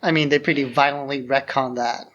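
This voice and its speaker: A man's voice: mid-range voice, fairly even intonation, a little fast, a bit bright, lo-fi sound. A man co-hosting a podcast about films in a casual, conversational style. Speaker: podcast co-host. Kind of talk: casual chat.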